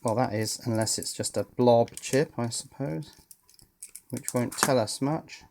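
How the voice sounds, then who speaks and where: A man's voice: mid-range voice, measured pace, creaky, darkish tone, a little hiss or hum. A man giving unscripted teardown commentary as a hobbyist presenter, thinking aloud with dry, understated humour.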